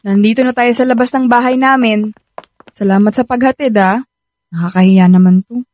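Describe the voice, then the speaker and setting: A woman playing a hesitant, soft-hearted young woman in a Filipino radio romance, speaking with a Filipino accent. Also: a little high, steady tone, normal speed, natural voice. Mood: modest, resigned. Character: young woman torn between boyfriend and friend. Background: background music.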